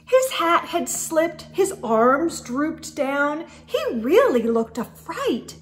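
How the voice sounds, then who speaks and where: A middle-aged woman hosting a children's storytime, reading a picture book aloud in an expressive, sing-song way and talking directly to her young listeners. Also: high voice, takes it slowly, slight background noise. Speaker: host of children's storytime show. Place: indoors in a room lined with bookshelves.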